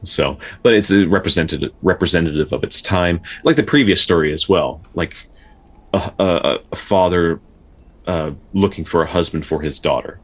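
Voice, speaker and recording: Very deep-voiced man, halting explainer. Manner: halting, with repeated words and stutters. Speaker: podcast co-host and folklore storyteller. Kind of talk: casual explanatory discussion of folktales.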